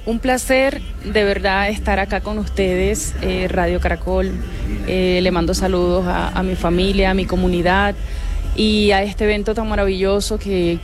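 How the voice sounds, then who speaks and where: A woman artisan whose first language is Arhuaco, speaking in a measured way with frequent fillers as a radio guest. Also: medium pitch. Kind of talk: radio interview.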